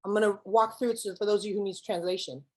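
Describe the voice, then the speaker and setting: Woman keynote speaker, young adult, lively, code-switching, questions the crowd, mid pitch. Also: fast talker. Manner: lively, mixes English and Spanish, asks audience questions. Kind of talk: speech to students with spoken-word poem.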